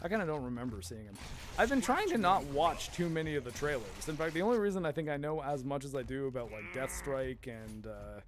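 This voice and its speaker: A man streaming a game, rambling in casual commentary with a hoarse voice and frequent laughter. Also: medium pitch, expressive, brisk, noisy background. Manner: chatty and rambling, laughs often.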